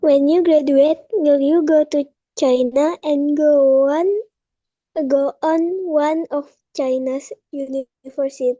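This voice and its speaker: A young woman student, asking a classmate a question in careful, halting English over a video call. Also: high voice, steady tone, warm tone, no echo, lo-fi sound.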